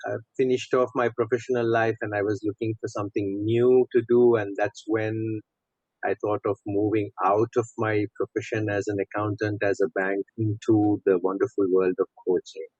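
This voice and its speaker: A man's voice: lowish voice, level tone, moderate pace, a little mellow, slightly rough, no room sound. Middle-aged Sri Lankan-accented man, dull dry audio. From Sri Lanka and Saudi Arabia. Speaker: podcast guest, coach. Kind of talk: conversational personal account in an interview.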